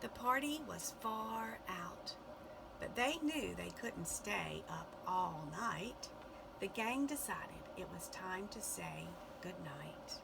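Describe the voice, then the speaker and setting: A woman giving an expressive, deliberate children's read-aloud, in a noisy recording. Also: on the low side, gravelly. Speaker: storybook reader.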